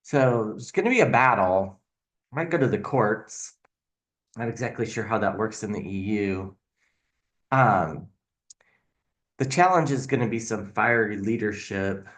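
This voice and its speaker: Male tarot channel host, lowish pitch, unscripted and halting, speculative, thinking out loud as he reads. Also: animated. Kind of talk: casual tarot reading commentary on politics.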